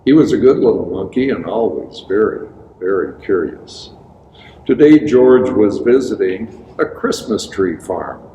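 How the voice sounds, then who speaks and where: A man reading a children's storybook aloud, with expressive, emphatic phrasing for young listeners. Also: lowish voice, unhurried. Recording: echoey reverberant room with background hiss.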